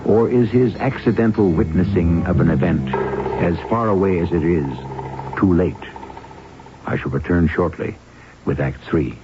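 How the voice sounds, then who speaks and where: An actor playing an ominous storyteller host, narrating a 1970s radio mystery with deliberate, rhetorical suspense. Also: male voice, low-pitched, natural voice. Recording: dull vintage radio broadcast with music.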